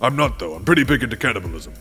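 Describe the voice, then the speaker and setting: A man's voice: mid-range voice, animated, rapid-fire, pushed and bright, faint music behind. A man voicing a haughty, deranged old king in an anime parody, pompous and foul-mouthed. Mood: gleefully sinister.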